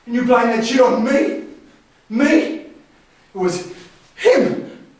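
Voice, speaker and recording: A man's voice: extremely high pitch, takes it slowly, darkish tone. A man acting in a stage play as a wounded, defiant grown son standing up to his bullying brother. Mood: bitter, accusing. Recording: very echoey hall, distant mic.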